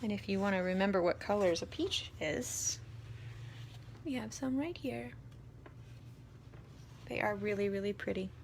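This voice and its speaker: A woman reading a children's story aloud expressively, deliberate in manner, with dramatic pauses. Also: medium pitch, vocal fry, slight background noise. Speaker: storytime reader, priest.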